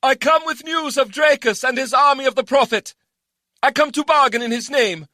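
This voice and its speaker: Guy doing a bold formal woman herald calling out, really high forceful voice, fantasy audiobook. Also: moderate pace, no room sound, clean studio sound. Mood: imperious. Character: commanding human woman herald, deep yet feminine. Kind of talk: audiobook character voice.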